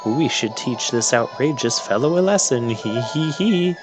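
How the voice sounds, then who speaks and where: A man's voice: mid-range voice, animated, normal speed, over music, close to an everyday voice. A man voicing haughty, menacing old witch sisters from a video game, theatrical and imperious. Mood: haughty, cackling.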